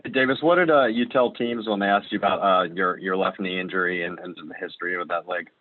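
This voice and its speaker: Low-voiced man, fast, on phone. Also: no echo. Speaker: reporter asking questions. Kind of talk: press conference questioning. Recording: muffled narrowband phone line.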